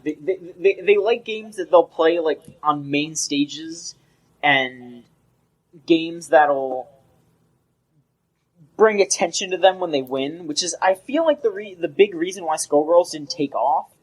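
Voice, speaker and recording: A man's voice: on the high side, unhurried, rough recording. A young man co-hosting a casual gaming podcast, rambling and often restarting his sentences. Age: young adult. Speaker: podcast co-host.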